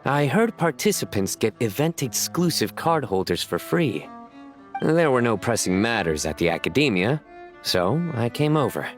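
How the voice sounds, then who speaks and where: A man acting an earnest, deadpan official who takes his hobby seriously, in a video game. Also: mid-range voice, moderate pace, soft background music, lightly acted. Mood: matter-of-fact, pleased. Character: earnest deadpan male official, avid card game fan.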